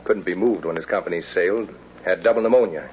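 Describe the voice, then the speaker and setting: Male actor as authoritative military doctor, low voice, clipped period radio-play delivery, muffled recording with hiss. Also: fast, natural voice. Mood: grave. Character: middle-aged army doctor captain, brisk and firm. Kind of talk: 1940s radio drama acting.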